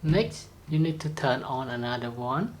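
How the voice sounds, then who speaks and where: Slow-talking tutorial presenter with halting phrasing. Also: medium pitch, slight background noise. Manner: simple, halting phrasing with non-native grammar. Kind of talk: step-by-step product demonstration.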